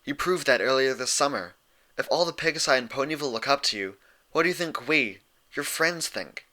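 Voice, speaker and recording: Storybook role: caring but exasperated unicorn mare, insistent, higher-pitched and sharply bright male reader performing. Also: expressive, brisk. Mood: urgent. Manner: insistent, pleading, lecturing. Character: earnest, exasperated young female unicorn pony, urgent and caring.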